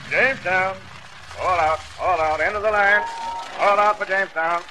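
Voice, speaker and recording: Vintage radio conductor played by a man, clipped projected calls, highish voice, noisy vehicle backdrop. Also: fairly even intonation, moderate pace, lightly acted. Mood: businesslike calling. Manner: calling out, clipped. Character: calling transport conductor announcing stops. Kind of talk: 1930s-40s radio drama acting. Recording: noisy old radio recording, vehicle sounds.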